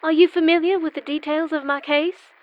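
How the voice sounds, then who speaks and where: A woman plays a polite, anxious defendant in an old-fashioned radio drama. Her high voice is proper and worried, heard through a slightly dull lo-fi recording. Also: steady tone, brisk, no room sound, close to an everyday voice. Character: anxious, polite lady accused of murder. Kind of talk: old-time radio play acting.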